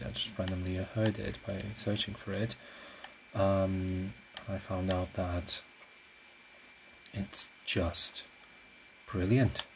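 A man whose native language is Portuguese, speaking in a casual solo podcast ramble, hesitant and reflective as he searches for words. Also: deep voice. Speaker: podcast host; classical singer. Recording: clear steady background noise.

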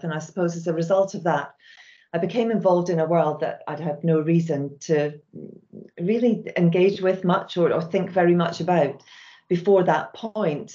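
Mellow-voiced Scottish woman, low and dull. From Scotland. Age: middle-aged. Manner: measured, reflective, with frequent restarts. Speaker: charity chief executive. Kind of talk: podcast interview answers.

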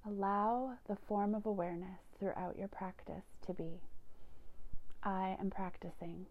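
A woman yoga nidra teacher gently guiding a meditation in a soothing, unhurried manner, with phrases that trail into breathiness. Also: medium pitch, fairly even intonation, phone-quality sound. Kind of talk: guided meditation narration.

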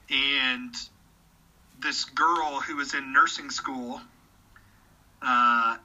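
A man's voice: mid-range voice, bright, forceful tone, dull audio. A man in his thirties in casual conversation, speaking earnestly about his beliefs and often repeating words as he starts a sentence. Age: 30s. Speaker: podcast guest.

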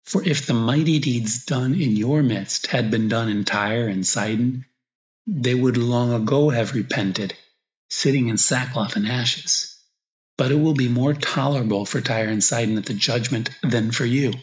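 A male priest leads a guided meditation in a mid-pitched voice, in slow, reflective phrases broken by pauses. The recording is echoey and a little dull. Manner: slow, reflective, pausing between short phrases. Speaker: priest guiding meditation and prayer. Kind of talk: guided meditation, spoken prayer with gospel reading. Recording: echoey room, slightly dull sound.

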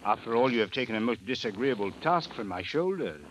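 A man's voice: mid-range voice, lively intonation, fairly quick, highs cut off, lightly acted. A man acting a courteous, dryly sly foreign police chief, with formal, hesitant phrasing, in a 1950s radio drama. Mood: sly, gracious. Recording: old radio, faint noise.

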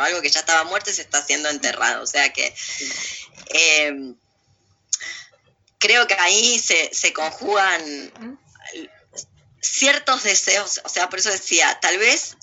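A woman speaks as an interview guest in a very bright, tense voice. She is blunt and sardonic, stressing her opinions with emphatic repetition. The sound is a bit muffled and lo-fi. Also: lowish voice, gravelly. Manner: blunt, sardonic, emphatic, repeats phrases for effect. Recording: muffled lo-fi audio.

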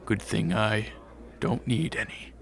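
An actor playing a weary, protective vampire count in a radio drama, speaking in a measured, halting, understated way. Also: male voice, fairly low pitch, fairly even intonation, gravelly. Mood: quiet, resolute.

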